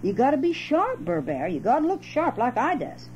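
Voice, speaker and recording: Woman voicing a high, sly storybook trickster rabbit. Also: big pitch swings, moderate pace, darkish tone. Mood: sly, confiding. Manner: folksy dialect, persuasive, exclamatory. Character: sly, cheerful male trickster rabbit spinning a scam. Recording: dull, noisy home cassette with hum.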